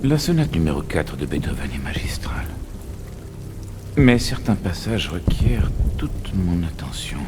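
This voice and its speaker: Cultured Nazi officer villain, war game: low, very slow, sneering, mock-polite, cruel. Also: male voice, big pitch swings, music in the background. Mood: cold mockery. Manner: slow, theatrical, mockingly polite. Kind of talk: dubbed video game cinematic acting.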